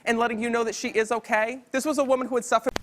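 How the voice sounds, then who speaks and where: Lady psychic doing a reading, talks fast, kinda high voice, reassuring and explainy. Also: level tone, a little hiss or hum. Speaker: psychic medium. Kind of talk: spontaneous spiritual reading to a listener.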